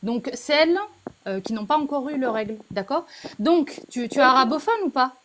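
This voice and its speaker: Halting woman, slightly high voice. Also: expressive, a bit bright, a little hiss or hum. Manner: halting, many fillers, quoting religious texts. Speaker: debate guest. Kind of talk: heated live debate.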